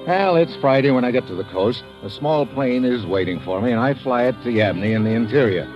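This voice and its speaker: A man's voice: medium pitch, expressive, brisk, lightly acted. A man playing a wry, hard-boiled secret agent in old radio noir, narrating and talking in clipped, sardonic phrases. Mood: wry, world-weary. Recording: dull old radio broadcast. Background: music.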